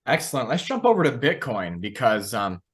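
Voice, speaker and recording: A man's voice: medium pitch, sing-song, moderate pace. A man hosting a financial discussion, giving conversational market commentary and putting questions to an analyst.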